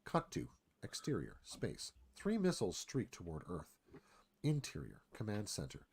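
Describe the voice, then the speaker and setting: A man's voice: on the low side, wildly swooping pitch, moderate pace, creaky, a little hiss or hum. A man reading dramatic scripted narration as screenplay narrator, in clipped phrases.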